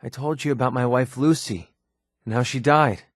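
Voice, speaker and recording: Game companion: male actor as a guarded young American gun-for-hire, wry and conversational, medium pitch, dry studio sound. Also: normal speed, no room sound, close to an everyday voice. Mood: disappointed. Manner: sardonic, conversational, hesitant asides. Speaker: video game companion character. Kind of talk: video game voice acting.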